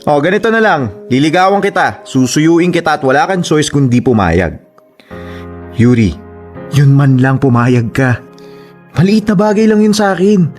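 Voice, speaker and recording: A man's voice: on the high side, lively intonation, normal speed, a little mellow, faint music behind, close to an everyday voice. A man acting in a Filipino radio drama as a persistent, manipulative young suitor with an insistent, goading delivery. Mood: smug, coaxing.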